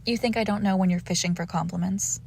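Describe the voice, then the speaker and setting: Woman acting a teasing, haughty young vampire, slightly creaky. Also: mid-range voice, steady tone, fast talker, a little mellow, a little hiss or hum, lightly acted. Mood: playful, smug. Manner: teasing, coy, entitled. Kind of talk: intimate roleplay audio acting.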